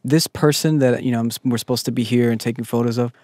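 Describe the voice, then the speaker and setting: Guy hosting a podcast, mid pitch, eager and earnest, dry sound. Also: steady tone, fast talker, darkish tone, no room sound. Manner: enthusiastic, earnest questioning. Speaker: podcast host. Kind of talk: casual interview chat.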